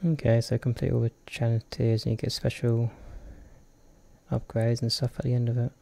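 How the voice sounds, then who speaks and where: A man's voice: medium pitch, completely flat delivery, moderate pace, vocal fry, mellow. A man with a cold giving jokey, chatty commentary while playing a game, reading the on-screen text aloud. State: has a cold. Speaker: let's play host. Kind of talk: casual gameplay commentary.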